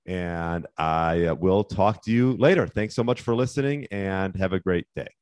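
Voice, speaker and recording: A man hosting a fitness podcast, giving casual, rambling advice with plenty of fillers while losing his voice and clearing his throat. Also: low voice, normal speed, dry recording, clean studio sound. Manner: relaxed, rambling with fillers and restarts. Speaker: podcast host and fitness coach.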